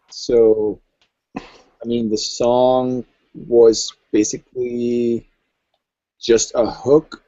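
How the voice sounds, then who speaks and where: A man, a music producer, explaining things thoughtfully in an interview with many pauses and ums. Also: mid-range voice, mellow, no echo, muffled recording. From Norway. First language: Norwegian. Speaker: electronic music producer, guest. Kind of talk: casual interview chat.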